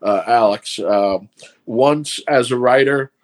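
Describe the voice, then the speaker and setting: A man's voice: medium pitch, slow. New York man explaining filmmaking. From New York City. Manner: rambling, explains step by step. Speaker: filmmaker guest. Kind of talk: casual podcast chat.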